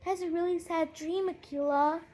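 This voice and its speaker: An eager young child from a storybook acted by a woman, pitched very high and pleading. The delivery is keen and wide-eyed, as in a children's audiobook. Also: fairly even intonation, moderate pace, a little mellow. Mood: concerned. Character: excited, pleading young children, brother and sister. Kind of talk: audiobook character voices.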